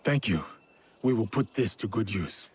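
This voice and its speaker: Game samurai, male, played by an actor: low register, loyal, reserved, formal and measured delivery. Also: lively intonation, a little mellow, a little hiss or hum, natural voice. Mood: courteous. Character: grave, courteous samurai warrior, man, loyal and dutiful. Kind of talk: video game cutscene acting.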